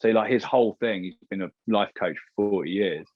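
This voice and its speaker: A man's voice: fairly low pitch, a little fast, breathy edge. A British man speaking as an interview guest and radio presenter, chatty, candid and emphatic in casual conversation. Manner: chatty, candid, emphatic, acts out imagined remarks. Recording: roomy, slightly muffled sound.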